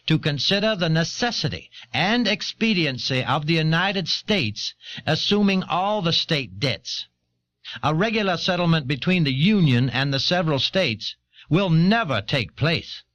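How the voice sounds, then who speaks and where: Slow, stately 18th-century delegate. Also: male voice, fairly high pitch, animated, a bit bright, no room sound. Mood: formal, assertive. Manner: stately, formal oratory. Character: John Rutledge, formal 18th-century South Carolina delegate. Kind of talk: dramatised historical quotation. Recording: dull old audio cassette.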